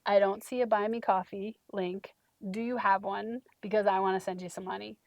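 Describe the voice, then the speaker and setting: A woman's voice: mid-range voice, fairly even intonation, normal speed, a little mellow, dry recording, highs cut off. Woman host in a dry, casual conversation.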